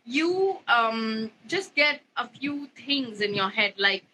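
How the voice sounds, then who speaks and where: A woman in her twenties from India, a bassist talking casually in an interview, rambling with lots of fillers and "you know". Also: lively intonation, slow, pushed and bright, a little hiss or hum, low-quality recording. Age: 20s. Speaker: bassist guest.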